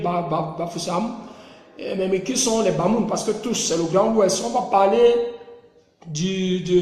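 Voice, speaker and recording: A man's voice: high voice, darkish tone, roomy sound, big-room sound. A man from Cameroon with a Cameroonian French accent, speaking to his online followers in a casual, repetitive, rallying way. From Cameroon, Mungo region. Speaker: online group organizer. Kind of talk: casual live video address to followers.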